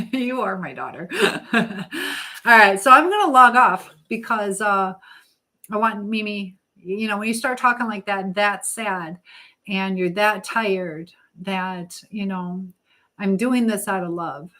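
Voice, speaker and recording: A middle-aged woman with a medium-pitched voice hosts a casual live chat. She talks in a motherly, advising way, reading messages aloud and wandering haltingly from one thought to the next. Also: pushed and bright. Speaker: live stream host.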